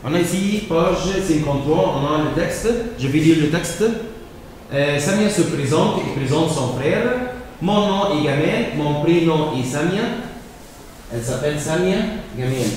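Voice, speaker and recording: Male teacher, slow hesitant delivery, somewhat high voice, recorded far from the mic. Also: a little mellow, slight background noise. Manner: hesitant, repeats words and fills pauses with euh. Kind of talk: slow classroom explanation of French exercises. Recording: echoey room, voice from far off.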